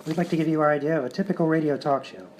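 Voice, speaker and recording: A man plays an eager radio comedy presenter. He talks fast in an earnest, insistent, explanatory way, with faint noise behind him. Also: fairly high pitch, close to an everyday voice. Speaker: show host. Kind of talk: live radio sketch comedy.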